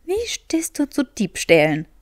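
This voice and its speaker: A clever young witch in an audiobook story, acted out by a woman narrator. She is pitched high, curt and stubborn, with a snappish, exasperated edge. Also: huge pitch swings, measured pace, heavily acted. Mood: wry, scheming. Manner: curt, sharp-tongued.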